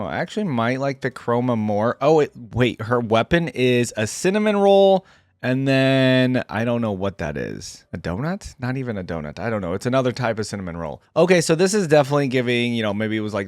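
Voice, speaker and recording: A man's voice: medium pitch, dry recording, clean studio sound. Male reaction host: casual, off-the-cuff commentary in an average register, very little room sound.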